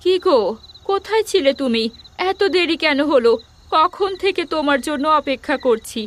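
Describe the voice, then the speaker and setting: A woman's voice: high voice, expressive, normal speed, a little hiss or hum. A woman playing a worried village wife in a cartoon, anxiously questioning. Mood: concerned.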